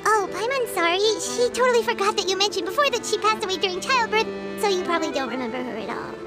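A woman voicing a tiny childlike fairy companion girl in a video game, chirpy and given to squeaky exclamations. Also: animated, quick pace, over loud music. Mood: curious, apologetic. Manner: refers to self by name, squeaky exclamations. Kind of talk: video game character acting.

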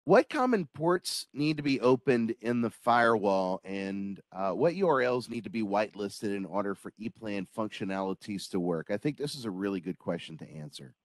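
Middle-aged man, host, low pitch, dry close sound, friendly joking questions, video call. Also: lively intonation, moderate pace, few pauses, no room sound. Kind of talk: casual interview on a video call.

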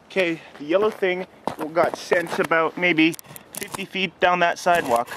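A man's voice: sits high, steady tone, normal speed, background noise. A nineteen-year-old man chatting casually and offhandedly to a handheld camera outdoors as the host of an online video. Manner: offhand, informal. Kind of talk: casual vlog chat and demonstration. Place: outdoors in a snowy yard. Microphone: handheld camera at arm's length or set nearby.

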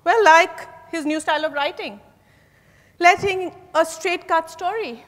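A woman's voice: extremely high pitch, unhurried, fairly bright tone. A young woman on stage playing an ambitious, defensive suspect who answers questions in a guarded way. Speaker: stage actor. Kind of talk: dramatized play reading on stage. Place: large hotel ballroom stage. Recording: echoey hall from far off.

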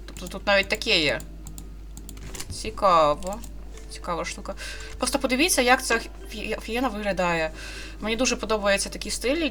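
A woman's voice: medium pitch, a bit bright. A woman in her twenties giving casual let's-play commentary in a hesitant, rambling way, often correcting herself. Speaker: gaming video host. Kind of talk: casual let's-play commentary, reading game dialogue aloud.

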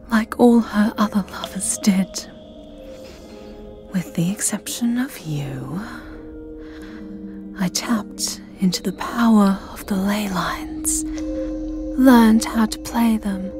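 A woman playing a sinister, jealous living porcelain doll maid in a dramatic ASMR roleplay, speaking in a breathy whisper with a menacing, savoring delivery. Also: medium pitch, natural voice. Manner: slow, menacing, theatrical, savoring phrases. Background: music.

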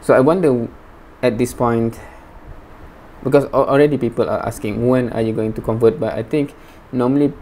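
A man in his thirties hosting a reaction video from a small room with bookshelves, talking in a measured, hesitant way through an earphone mic. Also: medium pitch, steady tone, darkish tone, a little hiss or hum, poor audio. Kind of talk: reaction commentary.

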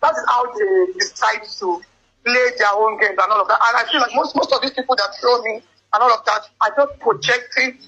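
Bright-voiced young woman, halting speech, muffled call. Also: a little high, fairly even intonation, slightly rough. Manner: halting, repetitive phrasing. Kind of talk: emotional personal phone conversation. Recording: band-limited leaked phone call with music. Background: music.

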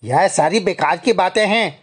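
A man voicing an angry, threatening village gambler in an animated story, accusing and aggressive. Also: high voice, normal speed, breathy edge. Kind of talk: Hindi animated story acting.

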